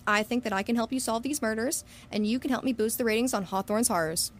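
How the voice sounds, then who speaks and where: A woman's voice: fairly high pitch, fast talker, a little hiss or hum, close to an everyday voice. A woman playing a confident, scheming young student and true-crime podcaster in an audio drama, polite but pointed. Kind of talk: scripted audio drama acting.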